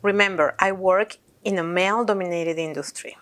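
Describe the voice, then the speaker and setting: A woman's voice: on the low side, steady tone, normal speed, a bit bright. A woman from Peru who owns a roofing company, speaking earnestly and reflectively in an interview. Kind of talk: interview to camera.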